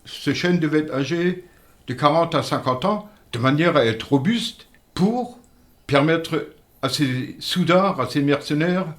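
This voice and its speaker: An older man with a fairly high voice tells old village tales in a measured, earnest way, stopping and restarting now and then. He grew up speaking a regional dialect and is being interviewed in a radio studio. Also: animated. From Moselle, France. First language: Alsatian-Lorraine Franconian dialect. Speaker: interview guest. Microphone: studio microphone.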